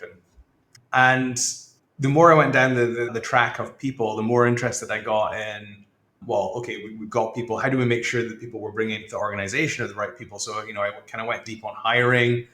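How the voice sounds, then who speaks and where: A man's voice: medium pitch, a bit bright, reverberant. A man in his forties from the northeast of Scotland with a mixed Scottish-Australian accent, talking reflectively as an interview guest with fillers. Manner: reflective, with fillers and frequent laughs. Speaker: guest, tech executive. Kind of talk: casual interview talk.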